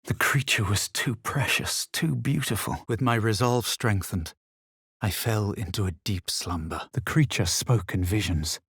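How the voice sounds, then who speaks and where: An actor playing a brooding male fantasy adventurer, narrating his visions for a video game in a measured, solemn way with a low, husky voice. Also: fairly bright tone, dry recording, studio quality, heavily acted. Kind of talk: dramatic first-person game narration.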